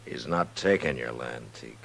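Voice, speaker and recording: An actor playing a steady, authoritative frontier marshal, calm and plain-spoken, in a radio western. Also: male voice, extremely low pitch, moderate pace, gravelly, heavily acted. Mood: firm, reassuring. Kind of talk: radio western drama acting. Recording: crackly, noisy old radio broadcast.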